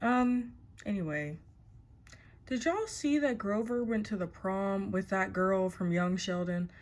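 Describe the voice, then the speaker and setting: A woman's voice: mid-range voice, measured pace. A young adult woman chatting casually to camera about her writing, bubbly and rambling. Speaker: writer vlogger.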